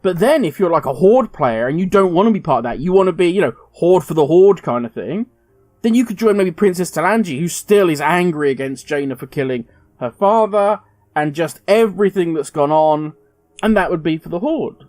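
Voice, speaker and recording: A man, a gaming commentator, musing aloud in a casual, conversational way, thinking out loud with fillers. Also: a little high, lively intonation, brisk, no room sound, soft background music. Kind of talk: casual speculative monologue.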